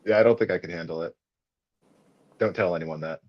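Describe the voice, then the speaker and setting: A fairly low male voice that comes through dull and lo-fi, as if over a video call. He hosts casually and feels his way through sentences with lots of "uh"s, chuckling often. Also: brisk. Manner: hesitant, many fillers, laughs easily. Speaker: host of an online book club. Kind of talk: casual live video chat.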